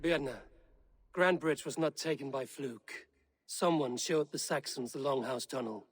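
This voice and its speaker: An actor playing a male Viking hero who questions people calmly and directly about a betrayal. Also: medium pitch, normal speed, husky, close to an everyday voice. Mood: questioning. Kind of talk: game dialogue.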